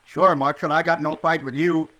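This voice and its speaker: A man's voice: a little high, steady tone, moderate pace, sharp tone. A man acting an ornery, blustering old frontier father with a rural American accent in a 1950s western radio drama. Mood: grudging, defensive. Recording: vintage radio drama recording.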